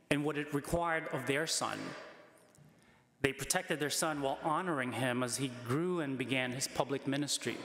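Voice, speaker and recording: A middle-aged man, a priest, giving a measured, earnest sermon from a lectern microphone in a large church. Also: mid-range voice, fairly bright tone. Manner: measured, earnest preaching with emphatic rises. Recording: echoey church with long reverb.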